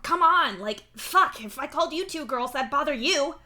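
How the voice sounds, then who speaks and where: Woman doing angry sweary young troll guy, yelling way up high, bright n loud, audiobook style. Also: expressive, moderate pace, heavily acted. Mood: insistent, exasperated. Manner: yelling, sweary, stumbling outbursts. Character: angry, foul-mouthed, exasperated young male troll.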